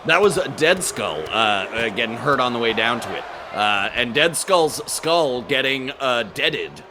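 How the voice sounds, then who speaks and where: A man's voice: mid-range voice, big pitch swings, unhurried, background noise. A man doing color commentary on wrestling, sarcastic and jokey, playing up mock outrage.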